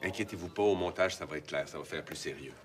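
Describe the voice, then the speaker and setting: A man's voice: low voice, fast, a little hiss or hum, lightly acted. A man playing a patient film director. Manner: patient, instructive. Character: calm male film director.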